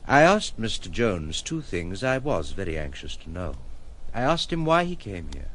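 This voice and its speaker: A troubled gentleman with swooping delivery. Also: deep voice, very animated, moderate pace. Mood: uneasy, earnest. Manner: articulate, formal, theatrical phrasing. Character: troubled, principled gentleman, articulate, brooding and protective. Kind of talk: audio drama acting.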